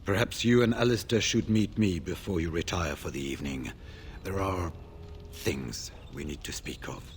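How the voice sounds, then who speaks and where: Grave low-voiced veteran warrior. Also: male voice, a little hiss or hum. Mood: grave, measured. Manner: formal, measured, grave. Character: grave male veteran warden scout. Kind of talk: fantasy video game dialogue.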